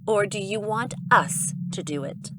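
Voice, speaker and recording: A woman plays a cold, commanding alien being in an audio drama. The voice is mid-pitched, formal and ominously deliberate, set in a clearly noisy bed of ambience. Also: animated, natural voice.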